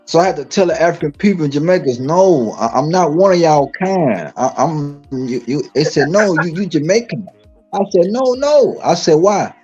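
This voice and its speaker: A man from Dallas speaks with a husky, mellow voice. He tells his stories emphatically, circling back and repeating phrases with lots of restarts. The stream audio is dull and lo-fi. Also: fairly high pitch, lively intonation, normal speed, faint music behind. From Dallas, Texas. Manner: repetitive, emphatic storytelling with many restarts. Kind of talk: casual live-stream chat.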